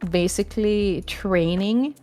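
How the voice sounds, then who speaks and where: A woman's voice: mid-range voice, takes it slowly, mellow, soft background music. A young woman with a Swedish accent chatting casually and frankly, sometimes swearing. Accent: Swedish-accented English. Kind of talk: casual chat.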